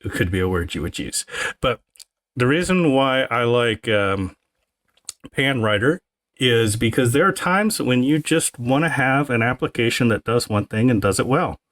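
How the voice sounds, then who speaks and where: A man co-hosting a podcast, explaining things casually and haltingly, pausing mid-sentence. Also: mid-range voice, lively intonation, fairly bright tone, no room sound. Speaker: podcast co-host.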